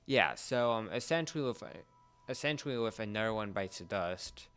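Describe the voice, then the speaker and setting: A young man co-hosting a casual pop culture discussion, explaining things in a halting, searching way. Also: lowish voice, expressive, a bit bright, smooth. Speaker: podcast co-host.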